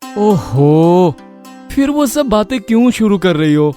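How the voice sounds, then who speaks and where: A man playing a lazy, sly, henpecked village husband in a children's cartoon story, with exaggerated, drawn-out exclamations. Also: high voice, big pitch swings, warm tone, soft background music, exaggerated voice. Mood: weary, exasperated.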